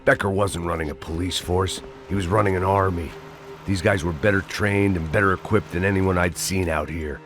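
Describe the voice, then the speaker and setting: An actor playing a bitter, middle-aged American gunman, narrating a hard-boiled game story in a cynical deadpan. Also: low-pitched, moderate pace, over music, lightly acted. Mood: bitter, resigned.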